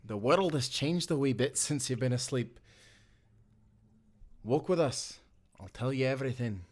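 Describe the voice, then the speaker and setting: A man's voice: mid-range voice, measured pace, natural voice. Man playing earnest timberman sailor. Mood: gentle, reassuring. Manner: earnest, old-time seafaring speech. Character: kind, principled male timberman sailor of the 1790s. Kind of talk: cinematic audio roleplay.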